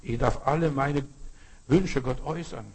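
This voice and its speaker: A man with a husky voice and a southern German accent preaching informally, speaking colloquially and directly to his congregation. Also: mid-range voice, moderate pace, a little mellow. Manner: colloquial, direct address with frequent check-in questions and repetition for emphasis.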